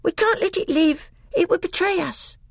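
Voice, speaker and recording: Storybook dwarf, sour and hostile, high-pitched, harsh and suspicious, read by a narrator. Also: normal speed, gravelly, no echo, studio quality.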